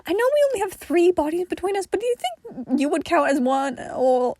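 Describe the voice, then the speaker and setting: A woman's voice: very high voice, big pitch swings, fairly quick, a little mellow, dry recording, studio quality, voice pushed up. A woman voicing a cheeky, obnoxious man who talks in a teasing, flippant way in a story reading. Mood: musing, teasing.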